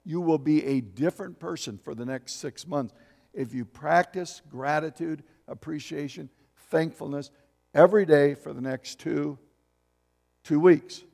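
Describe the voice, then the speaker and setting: A man's voice: fairly high pitch, unhurried, gravelly, darkish tone, long reverb tail. A man in his seventies preaching a sermon as pastor, reading scripture aloud and asking the congregation questions. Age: 70s. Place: church sanctuary.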